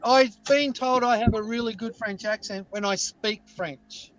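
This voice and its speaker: A man's voice: very high-pitched, measured pace, highs cut off. A man with Scottish family roots and a mixed British Isles accent, chatting casually as a guest and rambling in a self-deprecating way. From Scotland. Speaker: guest caller. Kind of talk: casual chat.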